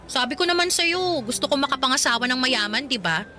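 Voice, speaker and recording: A woman's voice: high voice, animated, brisk, sharp tone. An actress playing a feisty, blunt young working-student girlfriend with a Filipino accent, sassy and complaining, in a radio drama. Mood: sassy, teasing. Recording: music behind the voice.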